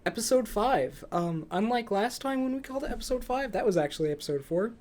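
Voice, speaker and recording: A man's voice: high voice, expressive, fast talker, darkish tone. Young man hosting a casual podcast. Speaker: podcast host. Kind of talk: casual movie review podcast chat.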